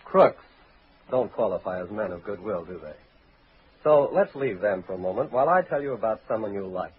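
A man's voice: lowish voice, lively intonation, a little fast. An American man reading a sponsor message as a 1940s radio announcer, in a storytelling style. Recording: tinny 1940s radio recording.

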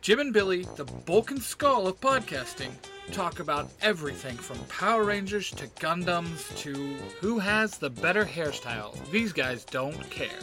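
A man's voice: high voice, sing-song, moderate pace, few pauses. A man doing a cheeky, chatty advertisement that speaks straight to the listener like a salesman. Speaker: podcast promo announcer. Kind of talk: chatty direct-address advertisement pitch. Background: faint music.

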